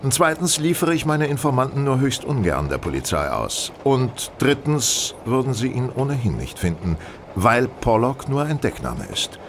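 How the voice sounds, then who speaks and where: An actor playing a self-assured male gentleman detective, speaking formally and precisely in a radio play. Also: big pitch swings, moderate pace. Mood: precise, superior. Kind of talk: German radio play dialogue. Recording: train rumble behind the voice.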